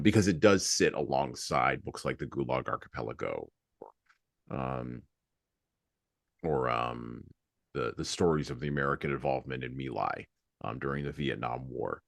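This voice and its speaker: A man with an extremely low voice hosts a book discussion podcast. He speaks deliberately and haltingly, leaning on key words. The sound is dry, with little room in it. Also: gravelly, a bit bright, no room sound. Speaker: podcast host.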